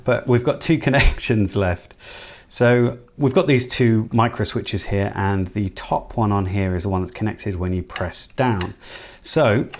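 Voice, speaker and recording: A man's voice: on the low side, a little mellow. A man giving casual, informal hands-on commentary as he works through an electronics build, half talking to himself. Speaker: electronics hobbyist presenter.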